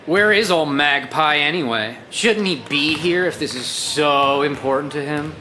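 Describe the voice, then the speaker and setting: An actor playing a smug, confrontational young stealth brawler in a cartoon, with a sneering manner. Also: male voice, fairly high pitch, a little slow, sharp tone, slight background noise.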